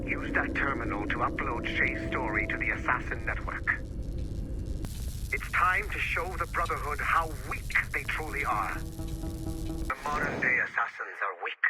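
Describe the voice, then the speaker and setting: Male actor as icy secret-order mastermind, mid-pitched, formal, deliberate threats in a game cutscene over loud music. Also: expressive, lightly acted, rough recording. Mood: menacing. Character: cold, menacing male Templar mastermind. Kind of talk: dramatic video game acting.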